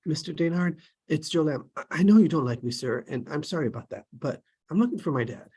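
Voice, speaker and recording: A performer playing an earnest, determined but hesitant teenage boy, with a husky, breathy voice. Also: mid-range voice, lively intonation, fast, mellow. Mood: hesitant, apologetic.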